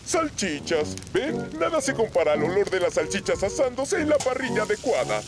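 An actor with a Latin American Spanish accent voicing a boastful, excitable grown man in a cartoon. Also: high voice, normal speed, music in the background. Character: boastful cheerful grown man, barbecue rival.

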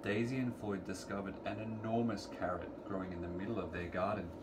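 A man's voice: lowish voice, level tone, a little fast. Man reading a picture book, noisy recording. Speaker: children's story reader. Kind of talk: read-aloud picture book storytelling for preschoolers. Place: indoors in a room at home. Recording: clearly noisy home recording, room ambience.

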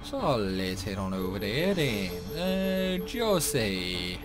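A man's voice: on the low side, big pitch swings, takes it slowly. A man giving casual playthrough commentary on a video game, rambling along with grumbling asides. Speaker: gaming video host. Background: game music.

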